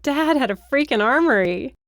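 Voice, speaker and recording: A woman voicing a spirited young woman who talks in a casual, blunt, excitable way in an audiobook. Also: lowish voice, a little slow, dry recording, clean studio sound. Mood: excited.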